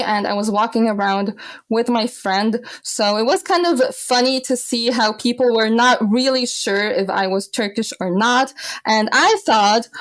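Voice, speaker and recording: Higher-voiced young woman guest. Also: no room sound. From Austria. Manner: animated storytelling, laughs often. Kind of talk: casual podcast interview.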